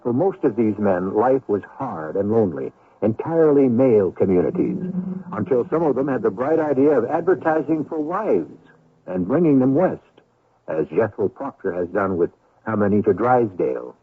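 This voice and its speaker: An actor narrating a Gold Rush radio mystery tale in a measured, formal manner. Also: male voice, on the low side, animated, breathy edge. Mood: measured storytelling. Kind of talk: scripted radio drama narration. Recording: narrow-band old radio recording.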